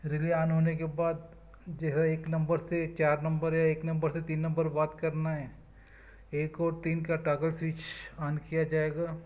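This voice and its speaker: Guy with a higher voice teaching electronics step by step, super monotone, short phrases. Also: phone-quality sound. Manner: speaks in short measured phrases, listing parts. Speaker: electronics instructor. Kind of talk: step-by-step technical explanation.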